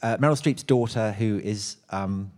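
A man co-hosting a live talk, speaking in an animated, emphatic way with mock indignation. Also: fairly low pitch, unhurried. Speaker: podcast co-host at a live show. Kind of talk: lively live conversation.

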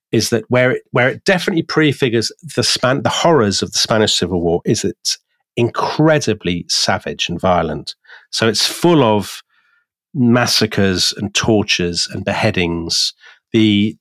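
Podcast guy, lowish voice, talks history in a slow careful way, very dry mic. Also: fairly bright tone, no room sound. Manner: measured, deliberate storytelling with pauses. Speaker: podcast co-host.

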